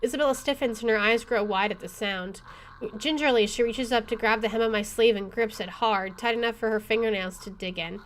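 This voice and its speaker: A woman game streamer with an expressive, excitable delivery, reading aloud and commenting as she plays. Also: a little high, a little fast, a little hiss or hum. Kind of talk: dramatic reading aloud and let's play commentary. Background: faint game music.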